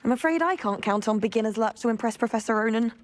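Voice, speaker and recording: A woman's voice: fairly high pitch, animated, fast, close to an everyday voice. A woman playing a friendly, eager teenage witch schoolgirl in a video game. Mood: friendly, curious.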